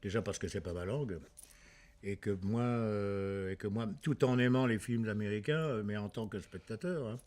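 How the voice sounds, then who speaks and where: A man from France talks in a slightly low voice in a casual interview about his acting. He halts often, filling the gaps with drawn-out fillers and starting his sentences again. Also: darkish tone. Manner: halting, many fillers and restarts. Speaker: actor.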